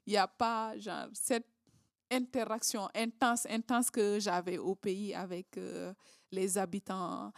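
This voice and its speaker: A woman's voice: mid-range voice, fairly even intonation, takes it slowly, gravelly, no echo. Guest: woman from southern senegal, hesitant, talks with lots of fillers and restarts, dry close sound. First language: Creole, Diola and Wolof. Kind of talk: casual interview conversation.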